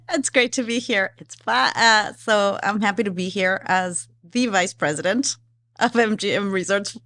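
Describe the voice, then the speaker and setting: A woman's voice: medium pitch, unhurried, fairly bright tone, no echo. A woman in her forties from Ecuador, a guest in a casual interview, chatting with frequent fillers and easy laughter. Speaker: guest, philanthropy executive.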